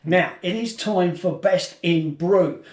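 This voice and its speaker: A man's voice: high-pitched, slow, darkish tone, reverberant. A man co-hosting a podcast in casual chat, playful and teasing with his guests. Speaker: podcast co-host.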